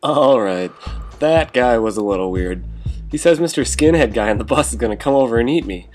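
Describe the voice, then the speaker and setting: A man's voice: medium pitch, lively intonation, a little fast, a little mellow, soft background music, lightly acted. A man playing a gloomy young man who narrates his own story in a motion comic. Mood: bewildered. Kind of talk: scripted first-person motion comic narration.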